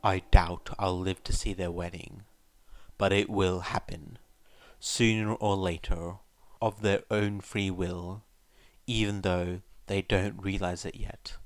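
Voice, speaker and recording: A man voicing a weary, proud old retired clan leader in a slow, wry, reflective way for a fan fiction reading. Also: deep voice, little pitch movement, no echo. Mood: reflective, fond. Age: elderly.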